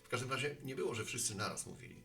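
Man playing a bright-voiced, genial postman. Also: medium pitch, animated, rapid-fire, creaky. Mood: thoughtful. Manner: colloquial, thoughtful. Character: genial male postman, a chatty homespun philosopher. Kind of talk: Polish audio drama dialogue.

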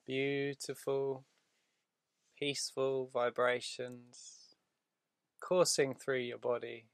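A man leading a guided meditation in a soothing, deliberate way, leaving drawn-out pauses between short phrases. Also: medium pitch, level tone, creaky, smooth, no room sound.

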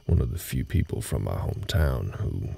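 Man voicing gruff cowboy mentor, audio roleplay, dark mellow tone, lively rise and fall, halting folksy phrasing, faint night sounds behind. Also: full character voice. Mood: wistful, resigned. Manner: halting, low-key, folksy drawl-like phrasing. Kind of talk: intimate scripted roleplay audio drama. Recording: night ambience noise behind the voice.

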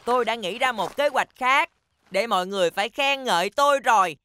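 A woman's voice: fairly high pitch, fairly quick, background noise, close to an everyday voice. A woman playing a boastful, taunting schoolboy bully who schemes, in a cartoon dub. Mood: smug, plotting. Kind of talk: animated series dub voice acting. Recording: loud music behind.

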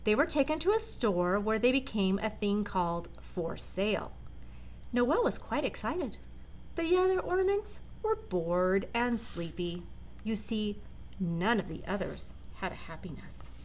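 An older woman, a grandmother, reading a children's storybook aloud with dramatic, deliberate phrasing. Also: mid-range voice, big pitch swings, a little hiss or hum. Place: large living room at home.

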